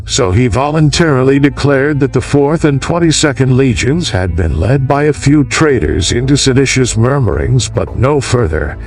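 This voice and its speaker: A man reading a classical history as a scripted audiobook, in a measured, formal, oratorical manner. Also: mid-range voice, lively intonation, darkish tone. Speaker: audiobook narrator.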